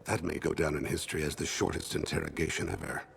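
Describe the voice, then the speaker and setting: An actor playing a calm, solemn alien assassin in a video game, speaking in a measured, formal rasp. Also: male voice, a little hiss or hum, exaggerated voice. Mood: dry, calm. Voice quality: deep gravelly rasp. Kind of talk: video game cutscene acting.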